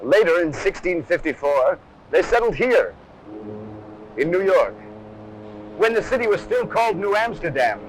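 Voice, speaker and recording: A man's voice: high-pitched, very animated, normal speed, pauses often, slight background noise, poor audio. A man giving scripted, dramatic documentary narration on a harsh, over-modulated old film soundtrack. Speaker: presenter of an army training film.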